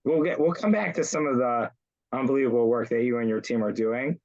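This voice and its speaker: A man hosting an online community interview over a video call. He talks in a halting way, with many fillers and restarts. His audio sounds dull and lo-fi, like a low-quality call. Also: a little mellow. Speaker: rabbi, host.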